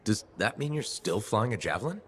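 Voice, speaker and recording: An actor playing an easygoing, dryly sarcastic mercenary hero in a video game. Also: male voice, fairly low pitch, very animated, fairly quick, a bit bright, soft background music. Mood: friendly, teasing. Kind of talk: video game dialogue acting.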